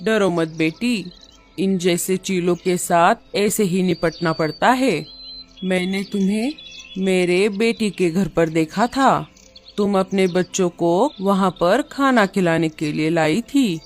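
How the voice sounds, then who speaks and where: A woman's voice: lowish voice, slow pace. A woman voicing a shrewd, motherly old female bird in a cartoon, reassuring and full of instructions. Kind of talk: cartoon dialogue. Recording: music under the voice.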